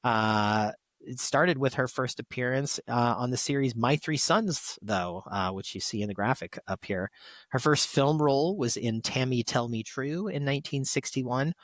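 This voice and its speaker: A man's voice: mid-range voice, normal speed, fairly bright tone, no room sound. A man hosting a podcast, reading out tributes in a conversational, stop-and-start way with casual asides. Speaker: podcast host.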